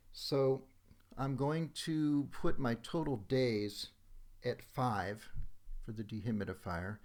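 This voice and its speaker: A man's voice: on the low side, darkish tone. A man giving a casual, instructional screen demo voice-over, checking in often with okay as he goes. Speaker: software demonstrator.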